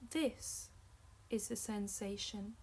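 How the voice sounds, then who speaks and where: Very slow-paced woman guiding meditation. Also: mid-range voice, darkish tone, slight background noise. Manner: measured, short phrases with long pauses. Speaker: meditation teacher. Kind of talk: guided meditation narration.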